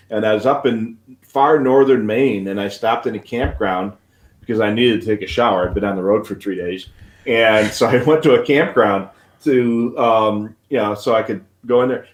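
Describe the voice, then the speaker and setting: An American man hosting a live hobby show in a casual, rambling, anecdotal way. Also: mid-range voice. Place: indoor room at a desk. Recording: echoey room.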